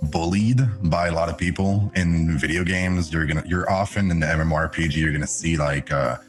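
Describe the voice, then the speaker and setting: A man's voice: a little fast, soft background music. A man from Canada whose first language is French, chatting casually as a podcast guest and laughing often. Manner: chatty, laughs often, animated jumps in pitch. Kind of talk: casual chat.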